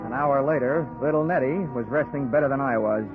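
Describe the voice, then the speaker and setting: An actor playing a tough, wisecracking private investigator with a dry, hard-boiled 1940s radio delivery. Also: male voice, medium pitch, brisk, over loud music, natural voice. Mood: wry narrating. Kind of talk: 1940s radio acting with scripted narration. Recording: narrow-band old radio recording.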